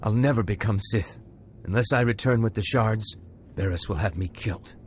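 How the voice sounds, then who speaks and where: An actor playing an anxious, craven young Sith trainee in a video game, earnest and faltering, with music underneath. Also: male voice, on the low side, moderate pace, a little hiss or hum, close to an everyday voice. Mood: desperate, resigned. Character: anxious, defeated male Sith acolyte, craven and grateful. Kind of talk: scripted video game drama.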